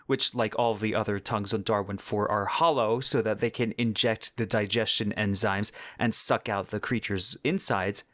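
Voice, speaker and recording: A man narrating a podcast as host in an expressive, descriptive, enthusiastic way. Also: lowish voice, dry recording, clean studio sound. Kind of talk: podcast narration about science fiction.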